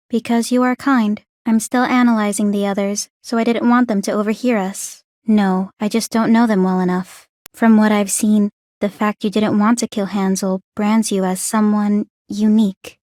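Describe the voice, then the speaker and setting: A woman's voice: mid-range voice, darkish tone, no echo, clean studio sound, lightly acted. A woman playing an aloof, bookish young warrior maiden in a video game, speaking in a composed, formal, precise and detached way. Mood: cool, curious. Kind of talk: English video game voice acting.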